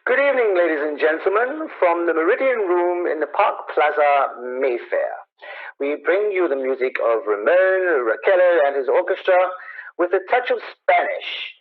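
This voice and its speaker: A man's voice: fairly high pitch, animated, normal speed, lightly acted. A man acting a genteel, formal dance-band announcer at a hotel ballroom in a radio play. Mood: genteel, presenting. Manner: formal presenting. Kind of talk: scripted radio drama acting. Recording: thin phone-like radio sound.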